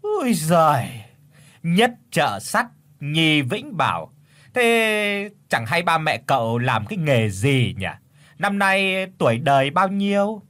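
A man's voice: sing-song, measured pace. A man voicing a scornful middle-aged mother who mocks and scolds. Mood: prying. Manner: mocking, scolding, high and loud.

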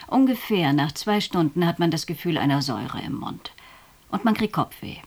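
Mid-pitched woman recounting experiences. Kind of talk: spoken first-hand account.

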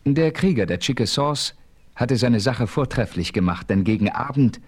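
An actor as a composed western adventurer, telling his own story in a German radio play with measured narration. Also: medium pitch, expressive, slightly rough. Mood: composed, narrating. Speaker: first-person narrator.

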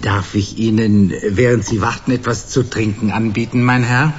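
An actor playing a fat, obsequious rental shop owner in a German radio play, speaking with formal, oily politeness. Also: male voice, on the low side, steady tone, normal speed, muffled recording, lightly acted.

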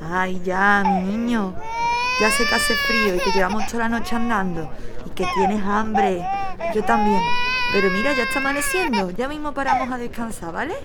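A woman playing a weary young girl soothing a baby in an audio drama, gentle and anxious. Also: mid-range voice, lively intonation, normal speed, lightly acted. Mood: tender, weary. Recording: very loud crowd and traffic ambience.

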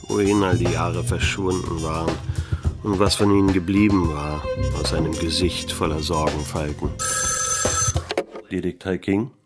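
Noir radio drama: weary middle-aged male detective, low register, laconic wit, music underneath. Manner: laconic, dry, sardonic. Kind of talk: noir radio play narration and dialogue.

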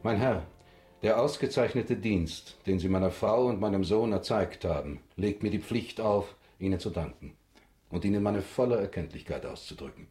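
A man playing a deep-voiced, stiff state prosecutor. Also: normal speed, dark tone, soft background music, lightly acted. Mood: stiffly grateful. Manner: formal, stilted, self-important. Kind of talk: German radio drama acting.

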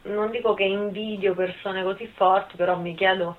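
A woman's voice: mid-range voice, steady tone, moderate pace. A woman in her twenties answering interview questions informally, hesitant and thinking aloud. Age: 20s. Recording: thin phone-like sound.

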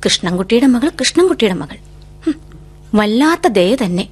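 An actress playing a bookish, wistful young woman with quiet defiance, in an introspective, dramatic radio drama style. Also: on the high side, sing-song, normal speed. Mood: bitter, sighing. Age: young adult. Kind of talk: radio drama acting with monologue and poetic recitation.

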